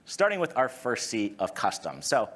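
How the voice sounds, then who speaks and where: A man in his thirties from Massachusetts with a Massachusetts accent, giving an energetic, conversational conference presentation on stage and often tagging "right?" onto his points. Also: medium pitch, moderate pace. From Massachusetts, USA. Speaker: conference speaker. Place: conference stage before an audience.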